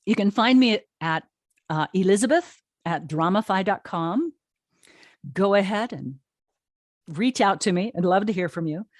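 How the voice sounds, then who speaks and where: A woman's voice: mid-range voice, animated, unhurried, no room sound, studio quality. A woman giving conversational interview answers in an enthusiastic, emphatic and informal way. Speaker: interview guest, audio drama streaming co-founder.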